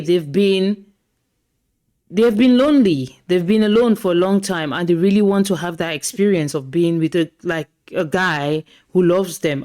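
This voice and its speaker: A woman's voice: lowish voice, darkish tone. A woman hosting a podcast, reacting to clips in a casual, chatty way and often repeating herself. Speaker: podcast host.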